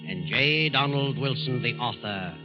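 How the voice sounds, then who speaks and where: An American man narrating in a measured, ominous, dramatic 1940s radio style with weighty pauses. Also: mid-range voice, expressive. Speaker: narrator and announcer. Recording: dull 1940s radio broadcast with music. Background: dramatic music.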